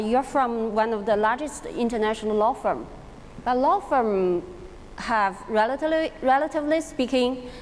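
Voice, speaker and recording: A woman moderator with a somewhat high voice introduces the next panelist, polite and a little hesitant. Her voice carries a long hall reverb, with faint noise behind it. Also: a little mellow. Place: large conference hall.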